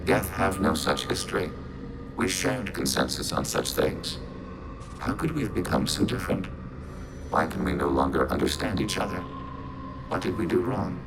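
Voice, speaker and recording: An actor voicing a calm, logical machine mind in a video game, measured and formal, with synthetic processing on the voice. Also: male voice, sits low, creaky, music in the background, low-quality recording. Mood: grave, questioning. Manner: measured, clinical, formal, speaks as "we". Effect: synthetic robot voice processing. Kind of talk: video game character acting.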